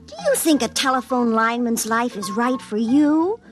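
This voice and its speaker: An actress playing a prim, nagging young fiancée in a 1950s radio drama, with an American accent and a scolding, emphatic delivery. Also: female voice, a little high, normal speed, natural voice. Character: prim, nagging young female fiancée, literal-minded, easily affronted. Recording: vintage 1950s radio recording with music.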